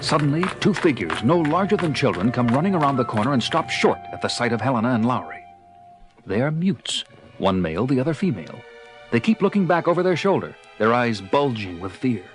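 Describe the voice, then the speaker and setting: A man's voice: mid-range voice, very animated, brisk, music in the background. A man delivering dramatic scripted narration as the narrator of an audio drama.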